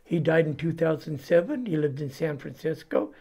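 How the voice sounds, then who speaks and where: Older Californian man, slightly high voice. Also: normal speed, gravelly, dark tone, slightly rough, muffled recording. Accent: Californian American. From California, United States. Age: 70s. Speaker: interview guest giving testimony. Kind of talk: personal life story in an interview. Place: indoors before a cloth backdrop.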